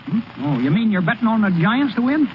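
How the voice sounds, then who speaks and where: Hot-tempered rube ballplayer, swooping high voice. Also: male voice, sing-song, quick pace. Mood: wary. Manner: blunt, folksy, indignant. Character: honest, hot-tempered rube male baseball player. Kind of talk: 1930s radio comedy-drama acting. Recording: muffled, hissy 1930s radio recording.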